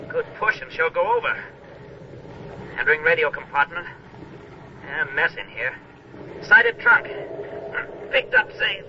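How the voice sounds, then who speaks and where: An actor playing a tough deep-sea diver, giving clipped reports in a 1940s radio drama. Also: male voice, distant mic. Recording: muffled lo-fi radio recording, noisy background.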